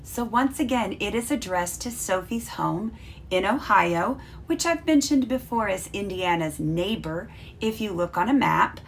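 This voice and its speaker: A woman's voice: medium pitch, expressive, a little slow, vocal fry, slight background noise. A woman reading a children's storybook aloud as a teacher, carefully explaining as she goes.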